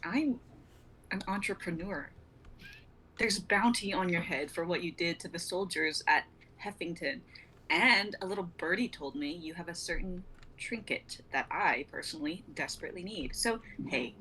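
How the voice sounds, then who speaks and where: A woman's voice: lowish voice, measured pace, pushed and bright, a little hiss or hum, low-quality recording. A woman playing a cocky, ruthless female bounty hunter with a sardonic, brash delivery in an amateur script reading. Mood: menacing, smug.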